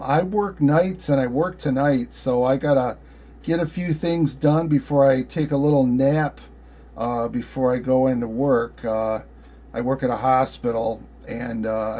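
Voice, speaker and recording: A man in his sixties with a mid-pitched voice chats as an amateur radio operator. His delivery is friendly and informal, meandering along with frequent hesitations. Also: darkish tone. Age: 60s. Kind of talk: casual chat over ham radio.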